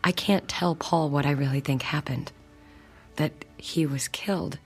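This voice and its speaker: Anxious, breathy radio drama wife. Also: female voice, low-pitched, fairly even intonation, soft background music, exaggerated voice. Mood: shaken, confessing. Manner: anxious, halting, confessional. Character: distraught married woman confiding a strange experience to psychiatrist.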